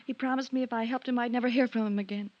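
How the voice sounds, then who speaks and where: A dull, vintage radio recording carries an actress as an anxious wife with something to hide. She speaks in a slightly high voice, hesitant and pleading. The delivery is melodramatic, in the manner of 1950s radio acting. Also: fairly even intonation, racing, no room sound, lightly acted. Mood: defensive, distressed. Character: anxious, guilty wife with a criminal past.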